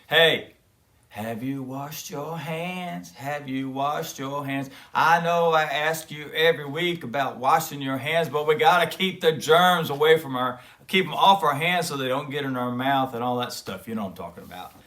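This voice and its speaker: A man hosting a kids' show, talking gently and folksily to children between guitar demos. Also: on the high side, moderate pace. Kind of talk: friendly talk to kids with guitar demos. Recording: music loud in background.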